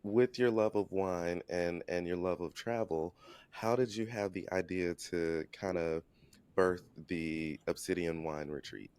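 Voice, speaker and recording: A man's voice: low-pitched, steady tone, unhurried, darkish tone, clean tone. A man hosting a podcast, asking playful questions and reacting with upbeat enthusiasm. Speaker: podcast host. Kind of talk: casual interview.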